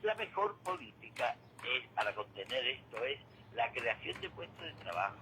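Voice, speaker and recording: A man's voice: medium pitch, big pitch swings, normal speed, noisy background. A man giving opinionated, conversational radio commentary in an emphatic, explanatory way, often repeating his points. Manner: emphatic, didactic, repeats points and asks rhetorical questions. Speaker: radio commentator on current affairs.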